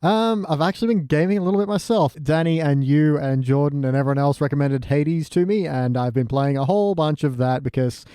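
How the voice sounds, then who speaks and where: Mellow man chatting on a podcast. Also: on the high side, brisk, hardly any pauses, clean tone, no room sound, studio quality. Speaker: podcast guest. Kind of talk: casual chat.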